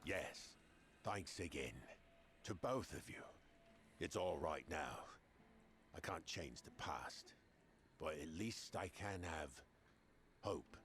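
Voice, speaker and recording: An actor playing a remorseful, earnest and grateful blacksmith in a video game, speaking in scripted dialogue. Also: male voice, a bass voice, lively intonation, slow pace, long pauses, fairly bright tone, slight background noise, heavily acted. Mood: grateful, relieved.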